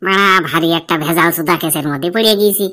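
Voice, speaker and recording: A woman's voice: on the low side, fast talker, fairly bright tone, close to an everyday voice. A woman voicing a hesitant, placating young village man in a comedy cartoon. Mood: worried. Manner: questioning, placating.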